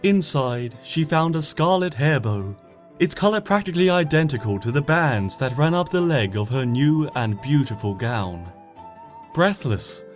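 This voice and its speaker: A man reading a fan story aloud as an expressive narrator, often dropping to a hush at sentence ends. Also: fairly high pitch. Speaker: fanfiction reader. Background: soft background music.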